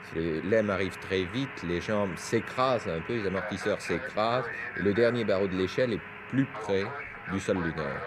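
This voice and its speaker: A man's voice: sits low, normal speed, crowd noise. A man giving explanatory, didactic science commentary over footage on a 1970s television news broadcast. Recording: noisy 1971 French TV newsreel.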